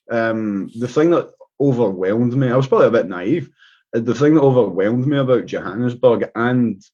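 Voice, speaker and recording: A man's voice: mid-range voice, dark tone, breathy edge. Rambling Scottish man on a lo-fi line. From Scotland. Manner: rambling, many restarts and fillers. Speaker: guest. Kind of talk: casual interview talk. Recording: dull lo-fi sound.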